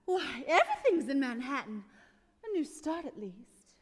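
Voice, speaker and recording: A woman's voice: high voice, sing-song, normal speed. An actress playing a restless, flirtatious small-town woman in a 1940s-style radio play, theatrical in delivery. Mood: determined, wry. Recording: live stage taping in echoey hall.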